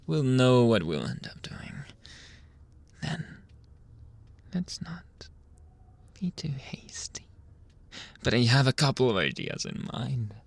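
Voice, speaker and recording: Man acting out a husky, whispering, seductive mafia boss. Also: mid-range voice, exaggerated voice. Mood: coaxing, seductive. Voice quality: breathy, husky, often dropping into whisper.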